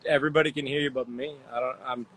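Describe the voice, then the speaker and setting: A man joining as a guest on a call, talking casually with a relaxed, swearing manner over his phone. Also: medium pitch, racing, poor audio. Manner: laid-back, swears casually. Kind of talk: casual chat.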